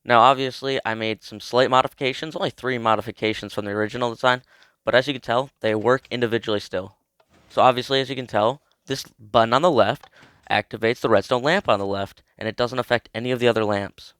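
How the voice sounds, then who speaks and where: A man's voice: mid-range voice, fairly even intonation, quick pace, a bit bright, clear, resonant tone, dry recording. Young man with a cold, casual tutorial. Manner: chatty, informal, explains step by step. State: has a cold. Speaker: video creator explaining a game build.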